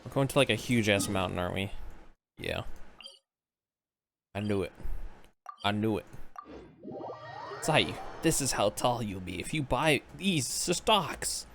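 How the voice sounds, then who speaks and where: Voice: mid-range voice, animated, a little slow, creaky, long pauses, background noise. A game streamer giving casual livestream commentary in a slangy, joking, reactive way. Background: loud game music.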